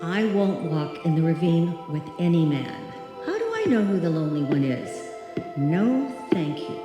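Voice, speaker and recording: A woman playing a frightened woman alone at night, talking haltingly to herself in a live radio suspense play. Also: fairly low pitch, very animated, dull audio, over music. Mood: firm, self-reassuring. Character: frightened woman walking home alone at night, self-reassuring. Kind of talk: live old-time radio suspense acting. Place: live stage performance in a hall. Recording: echoey hall, long reverb, faint noise.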